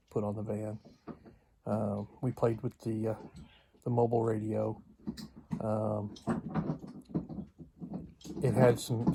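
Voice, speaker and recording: A man talking casually and unscripted for a vlog, in a halting, hesitant way with long pauses. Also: mid-range voice, vocal fry, noisy background. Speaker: vlogger.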